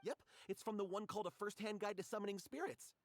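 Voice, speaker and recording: An actor playing a melodramatic, stubbornly hopeful struggling writer in a video game, insistent and dramatic. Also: male voice, sits high, fast, a little hiss or hum. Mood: confident.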